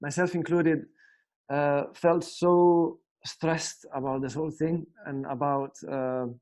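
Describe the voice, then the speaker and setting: A man's voice: a little high, flat delivery, slow, darkish tone. A young man from Italy with an Italian accent, teaching guitar in a casual spoken lesson, hesitant with lots of fillers and restarts.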